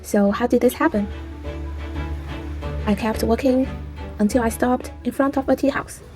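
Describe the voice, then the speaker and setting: A woman narrates a travel vlog in calm, scripted voice-over. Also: fairly high pitch, moderate pace, soft background music. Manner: calm storytelling.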